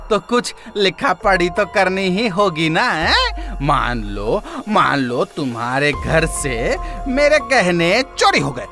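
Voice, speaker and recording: A woman's voice: on the low side, big pitch swings, music in the background, full character voice. A woman voicing a greedy, sly male shopkeeper in a cartoon moral story, muttering and repeating words in a wheedling way. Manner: drawn-out, repetitive muttering with sly chuckles.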